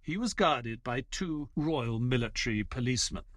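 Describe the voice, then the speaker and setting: A man's voice: medium pitch, lively intonation, normal speed, fairly bright tone, no echo, studio quality, natural voice. An actor playing a reserved former army man who is companion to a detective and narrator of an audio play. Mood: reflective.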